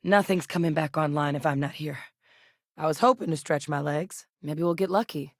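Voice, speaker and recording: A woman voice actress playing a determined, principled soldier-scientist in a space adventure video game, speaking earnestly and conversationally with military briskness. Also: low-pitched, no room sound, clean studio sound. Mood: focused, measured. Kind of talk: acted video game dialogue.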